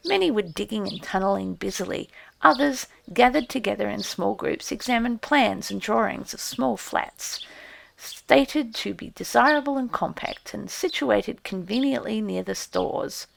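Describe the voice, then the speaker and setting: A woman narrating an audiobook in an expressive storybook style. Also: mid-range voice, a little slow, gravelly, a little hiss or hum.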